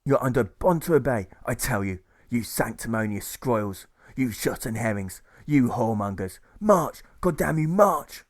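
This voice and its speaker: Guy doing a furious army officer for an audiobook, loud squawky barking, spitting out fancy old curses. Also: medium pitch, animated, moderate pace, few pauses, lightly acted. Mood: barking orders. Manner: squawking, outraged, old-fashioned insults. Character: blustering, cursing provost marshal barking insults and orders.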